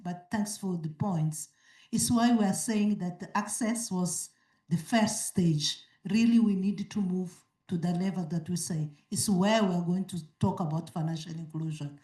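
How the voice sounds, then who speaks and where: A woman answering as a conference panelist, in a measured way that repeats phrases for emphasis. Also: fairly low pitch, dark tone. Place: conference auditorium. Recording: echoey hall mic from a distance.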